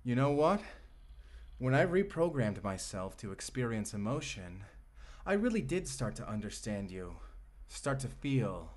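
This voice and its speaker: A man voices a menacing robot boyfriend with a mid-pitched male voice and very unhurried pacing. He sounds bitter and obsessive, weighing each phrase theatrically, as in a dark science-fiction roleplay. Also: sing-song. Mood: hateful, intense. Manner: deliberate, bitter, theatrical delivery. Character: vengeful, obsessive male AI companion turned menacing robot lover. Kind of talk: dark sci-fi audio roleplay, ASMR.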